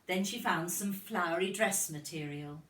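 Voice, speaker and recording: A woman narrating a storybook for young children like a kindergarten teacher, expressive and deliberate. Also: lowish voice. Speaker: kindergarten teacher reading aloud. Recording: roomy, distant microphone.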